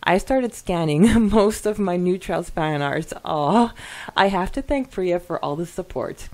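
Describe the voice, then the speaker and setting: A woman with a slightly low voice co-hosts a casual podcast. She reads listener comments and chats enthusiastically, often halting and starting her phrases again. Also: animated, a little mellow. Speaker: podcast co-host.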